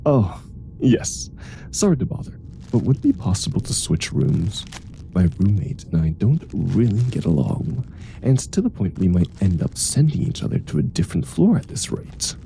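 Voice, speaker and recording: A grumpy male superhero played by a voice actor in a comedy audio drama, low and very dark in tone with a gravelly creak. He sounds dryly sarcastic and fed up with his nemesis, over a faint rumbling noise. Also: wildly swooping pitch, moderate pace, heavily acted, rough recording. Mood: polite, awkward. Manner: dry, weary sarcasm. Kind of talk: comedic audio roleplay acting.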